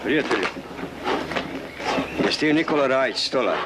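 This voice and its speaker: An actor playing a brash, streetwise undercover agent who talks rough and pushy. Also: male voice, medium pitch, animated, moderate pace, natural voice. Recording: hissy film soundtrack.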